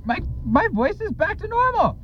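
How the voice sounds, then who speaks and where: A man's voice: very high-pitched, very animated, normal speed. An actor playing a scatterbrained male band member in a radio sketch. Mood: goofy. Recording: muffled faintly noisy radio recording.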